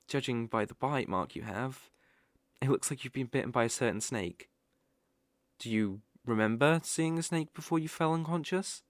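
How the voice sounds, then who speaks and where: A British man playing a sly, possessive young male kitsune fox spirit in an ASMR roleplay, soft-spoken and coaxing with playful hesitations. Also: mid-range voice, dry recording, clean studio sound, lightly acted. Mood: gentle, inquiring. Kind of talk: ASMR roleplay, acted directly to the listener. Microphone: close microphone, dry.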